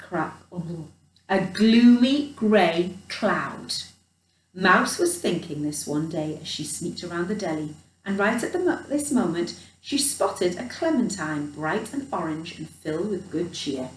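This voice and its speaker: A woman reading a children's picture book expressively, in an animated storyteller's manner with chatty asides. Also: lowish voice, measured pace. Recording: roomy echo, distant microphone.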